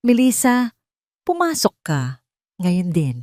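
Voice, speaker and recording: A woman's voice: mid-range voice, huge pitch swings, slow, a little mellow, dry recording, clean studio sound, voice pushed up. A woman voicing a cold, sarcastic male tycoon in an audio drama, with a clipped, commanding manner.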